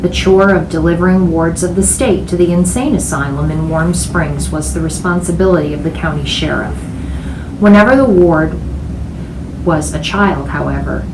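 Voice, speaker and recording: A woman reading a novel aloud in an expressive storytelling style. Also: deep voice. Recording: echoey room, clear background noise.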